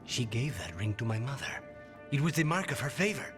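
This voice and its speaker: A man's voice: medium pitch, big pitch swings, brisk, music in the background, close to an everyday voice. An actor playing a brooding, conflicted male assassin in a video game, intense and troubled in manner. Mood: tense.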